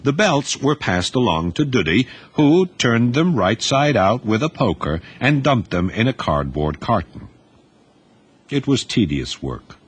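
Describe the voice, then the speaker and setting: A man reading a novel aloud as narrator, in a lively storytelling manner. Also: lowish voice, husky. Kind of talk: scripted audiobook narration.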